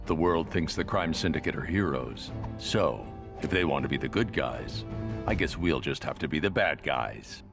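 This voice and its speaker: A very deep, gruff superhero vigilante from a video game. He is grim and commanding. Music plays clearly behind him. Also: animated, moderate pace, exaggerated voice. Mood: grim, resolute. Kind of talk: video game cutscene acting.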